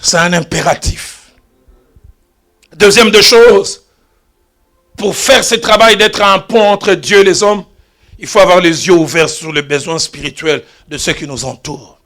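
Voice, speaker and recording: Man, pastor preaching in church, high voice, from hushed to loud. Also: measured pace, pauses often, soft background music. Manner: storytelling preacher, swings from hushed asides to loud exclamations. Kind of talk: sermon.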